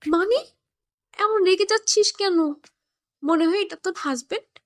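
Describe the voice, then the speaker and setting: A woman's voice: very high-pitched, measured pace. A woman playing a chatty, teasing young college girl in an audio story, in a girlish, gossipy way. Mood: bickering. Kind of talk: scripted audio story dialogue.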